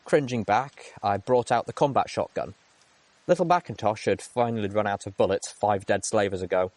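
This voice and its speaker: A man's voice: on the low side, normal speed, a little mellow, no room sound. A man reading a first-person adventure story aloud as dramatic narration. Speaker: audiobook reader.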